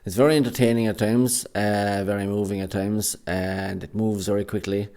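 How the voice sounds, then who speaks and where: An Irish man, a radio drama actor, chatting in an interview with many pauses and fillers in a recording studio. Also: fairly low pitch, level tone, dark tone. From Ireland. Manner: hesitant, many fillers and restarts. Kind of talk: casual interview chat.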